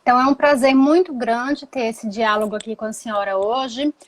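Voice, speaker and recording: A woman lawyer hosting a live stream over a video call, explaining things step by step and checking in with tag questions. Her voice is noticeably high. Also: moderate pace.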